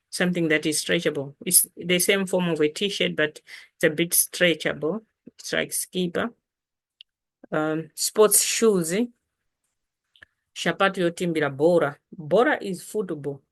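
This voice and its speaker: A woman with a Malawian accent teaches vocabulary in an informal lesson. Her voice sits a little low and she takes her time, saying words again deliberately so learners can follow. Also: a bit bright. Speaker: language teacher.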